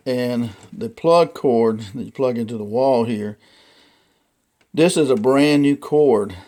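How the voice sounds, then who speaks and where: A man's voice: mid-range voice, dark tone. Man giving a rambling, folksy demonstration. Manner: rambling, folksy, halting explanation. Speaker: vintage radio collector presenting a radio. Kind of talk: casual unscripted demonstration.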